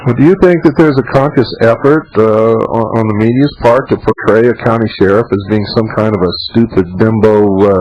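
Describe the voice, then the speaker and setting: A man hosting a radio talk show, speaking in a slow, dramatic, emphatic way. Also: fairly low pitch, a little mellow, muffled recording, slight background noise. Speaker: radio host.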